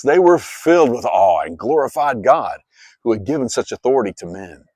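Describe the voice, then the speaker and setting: A man narrates scripture like a dramatic story. His pitch is mid-range and moves widely, with clear phrasing. The sound is dry, with hardly any room. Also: no room sound. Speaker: Bible narrator.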